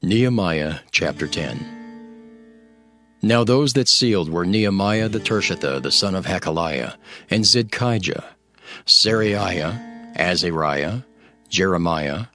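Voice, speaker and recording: Deep male bible narrator, slow and formal, music behind him. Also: bright, forceful tone. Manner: formal, deliberate. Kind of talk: scripted scripture narration. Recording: studio narration under prominent music.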